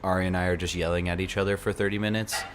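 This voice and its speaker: Low-voiced man rambling, losing his voice. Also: flat delivery, very fast, noisy background. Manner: rambling, full of restarts and "like". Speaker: podcast host. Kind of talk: casual chat. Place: apartment beside a busy night market.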